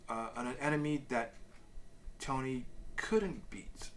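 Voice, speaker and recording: A man's voice: medium pitch, a little slow, a bit bright, slight background noise. A man co-hosting a casual review chat, explaining things in a loosely worded way with asides. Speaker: co-host of a comic review show.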